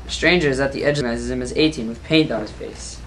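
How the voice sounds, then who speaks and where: A woman's voice: rumbling low, fast talker. A woman narrating a story in a plain reading style. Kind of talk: student narration read aloud. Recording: noisy amateur recording.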